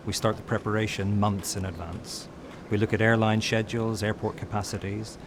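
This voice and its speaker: A middle-aged man gives a measured interview statement as a spokesman. His voice is on the low side, with clear outdoor urban noise behind him. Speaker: company spokesman.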